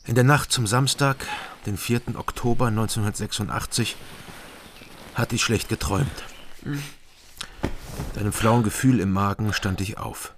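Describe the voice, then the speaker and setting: A man's voice: lowish voice, a little slow, pauses often, a little hiss or hum. An actor playing a laconic East German ex-policeman and private detective in a radio crime drama, terse and matter-of-fact. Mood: dry, brooding. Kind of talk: radio crime drama, first-person narration and dialogue. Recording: music behind the voice.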